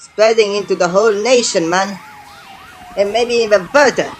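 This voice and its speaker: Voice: mid-range voice, normal speed, natural voice, low-quality recording. An actor playing an anxious, foul-mouthed police officer who stammers and repeats words in an amateur audio drama. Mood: worried.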